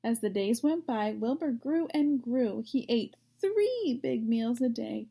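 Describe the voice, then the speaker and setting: A woman reading a children's story aloud, pausing for simple explanatory asides. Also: a little high, expressive, gravelly, warm tone, slightly rough. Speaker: story reader.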